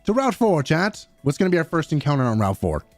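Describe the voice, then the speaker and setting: A young man streaming a game and chatting casually with his viewers in a low-key, slightly mumbly way. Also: very animated, fast talker, no echo, faint music behind. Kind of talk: casual live-stream chat.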